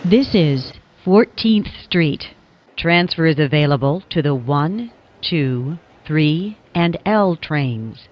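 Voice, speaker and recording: A woman delivers scripted transit announcements at a slow, measured pace. Her voice sits slightly low, and the phrases are formal and clipped, as if pieced together. The recording is a little dull and lo-fi. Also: expressive. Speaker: subway train announcer.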